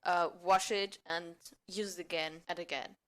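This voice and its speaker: A woman speaking as a podcast guest, improvising role-play and mock pitches in an amateur theatre manner. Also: steady tone, moderate pace, a bit bright.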